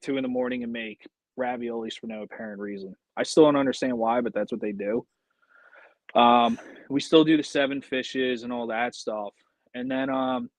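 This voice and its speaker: A man's voice: medium pitch, no room sound, low-quality recording. A man, a podcast guest, telling personal stories in a casual conversational way.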